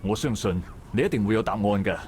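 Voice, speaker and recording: An actor playing a calm, steady male dockworker who gently reassures a friend in a visual novel. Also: on the low side, fast, a little hiss or hum, close to an everyday voice. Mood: gentle reassuring. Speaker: game character. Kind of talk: voiced visual novel dialogue.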